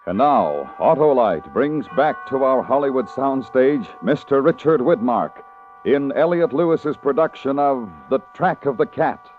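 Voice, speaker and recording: A man's voice: medium pitch, lively intonation, a little slow. An American man introducing a show as a radio announcer, in dramatic old-time broadcast style. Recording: thin phone-like vintage radio, faint music.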